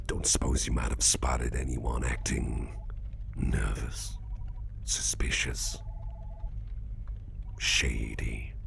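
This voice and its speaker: A male actor performs a suspicious crime boss with an extremely low, heavily gravelled voice. He interrogates in a hushed ASMR whisper, slow and coaxing, with calm menace under every phrase. There is faint noise behind the close recording. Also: exaggerated voice. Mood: probing, measured. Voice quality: very deep, gravelly, breathy whisper. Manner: slow, deliberate, coaxing, veiled threat.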